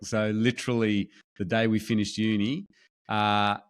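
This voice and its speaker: A man in his fifties with an Australian accent, a podcast guest telling his story in a friendly, relaxed way. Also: fairly low pitch, a little slow, clean tone. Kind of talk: casual interview chat.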